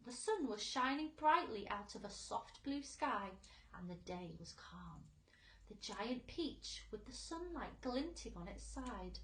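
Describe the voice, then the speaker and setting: A woman's voice: mid-range voice, a bit bright, echoey room, slight background noise, rough recording. A woman teacher reading a children's story aloud expressively for young listeners.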